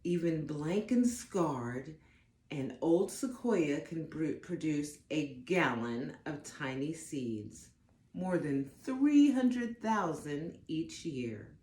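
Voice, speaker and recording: A woman reads a children's story aloud in a low, soft-edged voice. Her delivery is dramatic and deliberate, made for young listeners. The room adds a noticeable echo around her. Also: animated. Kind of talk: expressive read-aloud of a picture book.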